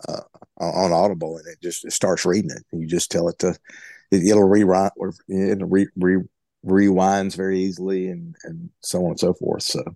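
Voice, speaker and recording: Low, halting man in blunt talk. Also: level tone, creaky, dark tone, no room sound. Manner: halting, many stammered repeats; blunt and opinionated. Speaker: book group member. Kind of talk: casual group discussion.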